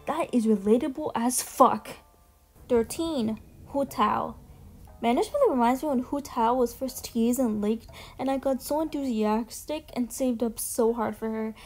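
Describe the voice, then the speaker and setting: A woman giving casual, chatty commentary as a video host, informal and quick to swear. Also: on the high side, a little mellow. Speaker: video host ranking game character demos. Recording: music behind the voice. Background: background music.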